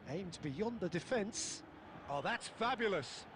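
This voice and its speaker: A man calls a football match play by play in a high, carrying voice. He stays measured through build-up and lifts sharply when a chance appears. The capture carries a clear layer of noise underneath. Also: lively intonation. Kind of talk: scripted match commentary.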